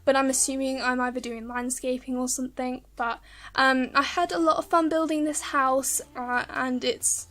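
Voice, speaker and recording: A woman's voice: sits high, fairly even intonation, normal speed, a bit bright. A woman YouTuber chatting casually in a voice-over Q&A, rambling with lots of ums, her voice a little worn from talking. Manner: rambling, many ums and restarts. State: slightly worn voice from long talking.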